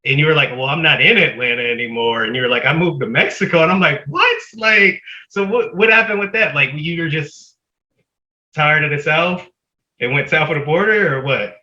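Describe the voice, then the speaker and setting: A man hosts a casual interview, animated as he acts out his back-and-forth with the guest. His voice is very bright and sits a little high. It comes through roomy and slightly dull. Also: moderate pace. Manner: animated, acts out remembered exchanges. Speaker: interviewer, host.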